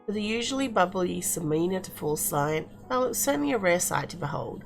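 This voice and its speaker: A woman's voice: fairly low pitch, expressive, a little fast, gravelly. A woman game reviewer reading visual novel text aloud expressively, now and then stumbling over words. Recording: music playing behind the voice. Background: music.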